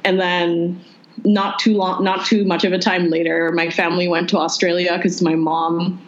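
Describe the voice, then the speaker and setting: A young woman from the Philippines, telling her own story in a relaxed, chatty way with many "like"s. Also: moderate pace. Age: young adult. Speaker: interviewee. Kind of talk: casual chat. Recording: muffled low-fidelity audio.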